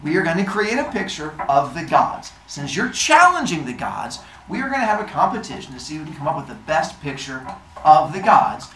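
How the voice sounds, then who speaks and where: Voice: medium pitch, big pitch swings, fairly quick, fairly bright tone. A storyteller voicing a brash, hot-tempered, easily flustered goddess who talks in a casual, blustering way. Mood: bossy, confident. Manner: casual, blustering, awkwardly apologetic. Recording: roomy, distant mic, faint noise.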